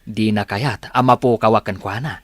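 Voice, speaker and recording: A man's voice: on the low side, steady tone, moderate pace, dry recording, clean studio sound, lightly acted. A man with a Filipino accent playing a cocky, dismissive young boyfriend in a radio drama. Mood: smug.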